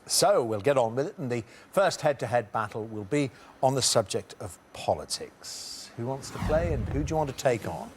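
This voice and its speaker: A man's voice: mid-range voice, lively intonation, moderate pace, few pauses, background noise. A man hosting a television quiz show, chatty and jokey, with frequent fillers.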